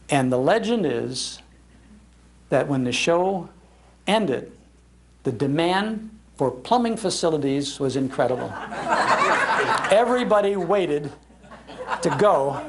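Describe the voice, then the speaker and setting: American guy giving a history talk, animated storyteller, bit high and gravelly. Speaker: speaker, radio historian. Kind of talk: anecdotal storytelling in a public talk. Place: public library talk.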